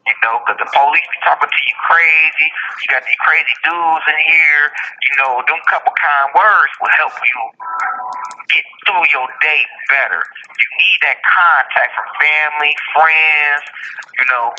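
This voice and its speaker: A man's voice: fairly high pitch, a little slow, sharp tone, a little hiss or hum. A man in his forties speaking candidly and emphatically in casual, colloquial language as a guest calling over a prison phone line. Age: 40s. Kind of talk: casual candid interview talk.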